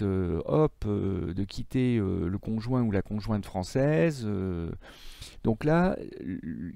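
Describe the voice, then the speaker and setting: A man's voice: medium pitch, gravelly, mellow, no room sound, clean studio sound. A man giving a recorded lesson as a middle school teacher, explaining didactically and stressing key points. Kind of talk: recorded lecture for students.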